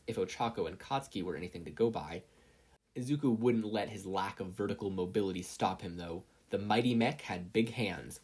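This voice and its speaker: A story narrator reading scripted fiction in an expressive storytelling manner. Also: mid-range voice, husky. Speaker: podfic reader and narrator.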